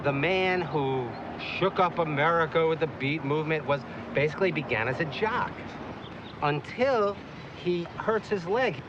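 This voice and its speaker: A man giving an informal explanatory talk as a guide, speaking emphatically in halting phrases. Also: on the high side, lively intonation, background noise. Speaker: tour guide or lecturer. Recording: muffled location sound under music. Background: music.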